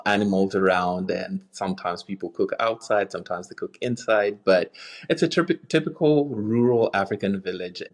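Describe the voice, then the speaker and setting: A young man from Kenya with a Kenyan accent, a nonprofit guest talking earnestly over a video call, with fillers and restarts along the way. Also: sits low. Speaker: nonprofit community engagement manager, guest. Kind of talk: casual interview conversation.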